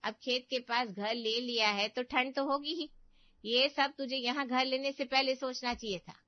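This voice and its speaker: A woman's voice: sits high, steady tone, normal speed, no room sound, lo-fi sound, lightly acted. A woman voicing a meddling older aunt in a family cartoon, complaining and lecturing with exaggerated sympathy. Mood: scolding. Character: meddling older aunt, falsely pitying, nagging and fault-finding. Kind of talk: Hindi family cartoon story voice acting.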